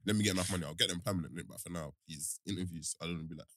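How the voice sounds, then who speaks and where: A young man, co-hosting a podcast in fast, slangy casual banter and laughing often. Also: sits low, fairly bright tone, dry recording, clean studio sound. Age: young adult. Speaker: podcast co-host.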